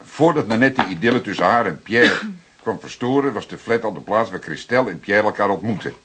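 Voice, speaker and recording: An actor playing a seasoned older police detective in a Dutch radio drama, explaining things deliberately with dramatic pauses. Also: male voice, on the low side, animated, fairly bright tone, husky. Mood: patient, explaining. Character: seasoned older male police detective, calm, plain-spoken storyteller.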